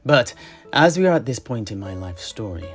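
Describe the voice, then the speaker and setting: Casting: male performer as theatrical storybook tavern keeper, middling pitch, measured and dramatic, music bed. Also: very animated, close to an everyday voice. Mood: confiding, dramatic. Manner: theatrical, deliberate storytelling with hushed emphases. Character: old tavern keeper priest recounting his adventurous life. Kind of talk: in-character fantasy storytelling. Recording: music behind the voice. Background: plucked guitar music.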